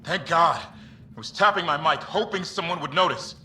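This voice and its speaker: A man's voice: a little high, normal speed, sharp tone. A man playing an earnest, stranded crewman in a sci-fi video game. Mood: relieved. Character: relieved, earnest male crewman stranded after crash. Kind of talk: video game dialogue acting. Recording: distant game audio with faint noise.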